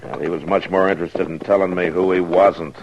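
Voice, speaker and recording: An actor playing a stern, weary frontier lawman, gruff and terse, with an American accent, in an old radio western. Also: sits low, steady tone, fairly quick. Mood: curt, weary. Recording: dull, hissy old radio recording.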